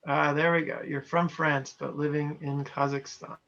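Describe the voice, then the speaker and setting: Bright-voiced man on a lo-fi call. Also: on the high side, level tone, normal speed, vocal fry. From Montana, USA. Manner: chatty, reads chat messages aloud and comments. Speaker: trainer. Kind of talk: casual chat with online participants. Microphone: video call. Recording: lo-fi video call audio.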